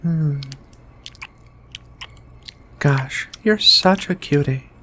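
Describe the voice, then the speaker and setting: A woman playing a shy, flirty, affectionate alien in a soft-spoken, hesitant ASMR roleplay. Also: low voice, slight background noise, exaggerated voice. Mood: tender, pleased. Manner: hesitant, bashful, murmuring with many pauses. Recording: quiet music behind the voice.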